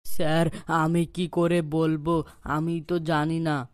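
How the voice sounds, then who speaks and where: A woman's voice: low voice, level tone, slow pace, no room sound, clean studio sound. A woman voicing a cheeky, whiny schoolboy in a comedy cartoon, full of excuses and pleading. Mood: meek.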